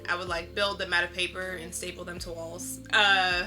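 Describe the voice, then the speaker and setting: A woman's voice: on the low side, little pitch movement, normal speed, over music, poor audio. A woman being interviewed, answering casually in a candid, reflective and informal storytelling way. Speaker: interviewed artist.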